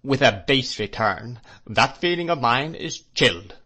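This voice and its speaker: A man voicing a stern, domineering husband who lectures his wife in slow, cold tones. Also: medium pitch, expressive, pushed and bright.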